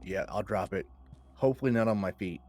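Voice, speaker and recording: Low-voiced man playing a tabletop game. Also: fairly quick, a little mellow, slight background noise. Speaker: player. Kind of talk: casual tabletop game chat.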